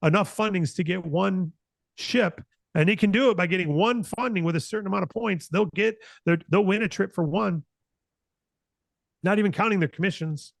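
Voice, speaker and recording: A man's voice: high-pitched, moderate pace, creaky, no echo. An American man in his late fifties giving an informal motivational business talk, earnest and conversational, repeating phrases for emphasis. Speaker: company founder hosting a broker announcement meeting.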